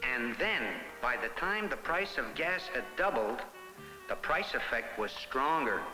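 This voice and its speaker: An actor playing a gas station owner who narrates an educational film in a chatty, explanatory way. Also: male voice, medium pitch, animated, unhurried, natural voice, poor audio. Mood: explanatory, animated. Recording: 1970s educational film soundtrack with music.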